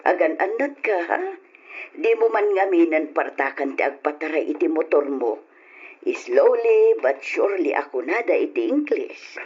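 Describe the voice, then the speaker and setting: A woman's voice: low-pitched, animated, a little mellow. A woman playing an anxious, devoted mother of a grown son in an Ilocano radio drama, with an Ilocano accent and a pleading manner. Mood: worried, tender. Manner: slow, pleading, worried. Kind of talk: old-style Ilocano radio drama acting. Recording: muffled, noisy radio drama recording.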